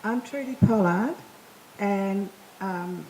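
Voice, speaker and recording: An older woman from Indonesia with a mellow, fairly high voice, speaking reflectively. She is heard in an echoey room with a faint murmur behind her. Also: slow pace, slight background noise. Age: 70s. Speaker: panel speaker. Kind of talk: self-introduction at a panel.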